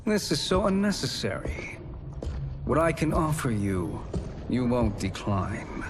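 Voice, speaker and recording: An actor playing a scheming, silver-tongued sorcerer in a video game, speaking in measured, formal, persuasive phrases. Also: male voice, mid-range voice, very animated, noisy background. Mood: smooth, persuasive. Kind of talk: dramatic video game acting.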